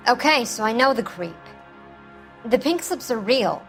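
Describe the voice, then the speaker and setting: Crime game suspect: higher-pitched, brassy moll, slangy, flirty, wheedling and self-pitying. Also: female voice, expressive, measured pace, fairly bright tone, faint music behind. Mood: evasive. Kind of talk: 1940s crime drama game acting.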